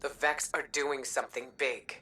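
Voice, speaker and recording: A woman voicing a solemn, steady commander in a video game, calm and deliberate, with a breathy, husky voice. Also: a bass voice, heavily acted. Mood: hushed, concerned. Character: solemn, steady female commander offering reassurance.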